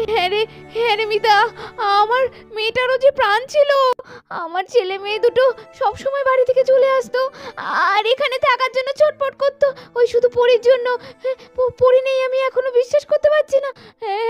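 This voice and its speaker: A woman voicing an anxious, tender, grieving village woman in a doll-story cartoon, lamenting in halting, repeated phrases. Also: extremely high pitch, fairly even intonation, soft background music.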